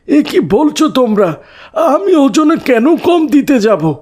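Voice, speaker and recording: A man acts out a pious male cartoon grocer with a very high-pitched voice. He speaks slowly and earnestly, as a gentle, honest soul. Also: very animated, full character voice. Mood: shocked, wounded. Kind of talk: children's moral cartoon dialogue.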